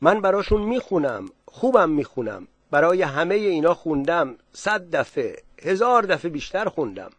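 An eager village singer and drummer, voiced by a storyteller, bragging insistently about himself. His voice is raised and somewhat higher than the narration, and it is recorded close and dry. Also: expressive, no echo. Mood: boastful. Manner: boastful, insistent.

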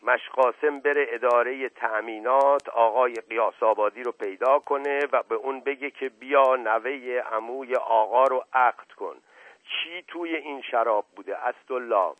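A man's voice: mid-range voice, no room sound, phone-quality sound, lightly acted. A man voicing a stern, indignant family patriarch in an audiobook.

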